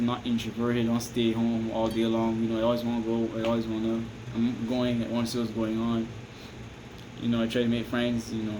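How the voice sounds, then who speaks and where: A man with a Barbadian accent talks loosely and at a middling pitch, circling back on himself and checking in with the listener. A steady hiss and air-conditioner hum sit under his voice. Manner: rambling, repeats "you understand me?", restarts often. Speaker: vlogger giving advice. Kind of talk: casual advice monologue to camera.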